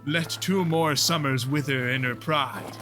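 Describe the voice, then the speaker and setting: A man playing a genial, stately old lord and father, measured and declamatory, in a Shakespearean audio play. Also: mid-range voice, bright, forceful tone, faint music behind. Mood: measured, protective.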